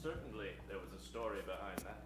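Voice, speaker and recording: A man's voice: deep voice, lively intonation, quick pace. A man narrating and announcing an improvised old-time radio show with a dramatic delivery. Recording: echoey stage, music behind.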